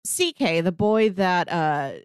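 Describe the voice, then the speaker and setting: Very animated woman in casual conversation. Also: fairly low pitch, slow pace, smooth, no room sound, clean studio sound. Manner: informal, retells scenes with paraphrased dialogue. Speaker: podcast co-host. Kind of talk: casual comic-book discussion.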